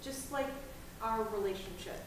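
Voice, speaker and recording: A young woman playing a guarded, anxious grown daughter who talks in halting, hesitant bursts with her mother. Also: on the high side, close to an everyday voice. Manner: hesitant, halting, then heated. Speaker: college student. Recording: echoey room, distant mic, noisy ambience.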